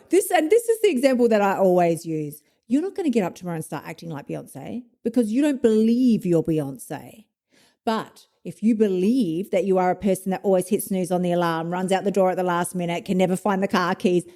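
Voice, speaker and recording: A woman's voice: medium pitch, dark tone. A woman in her fifties hosting a solo podcast, talking bluntly, swearing freely and laughing at herself as she coaches listeners. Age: 50s. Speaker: podcast host, motivation coach.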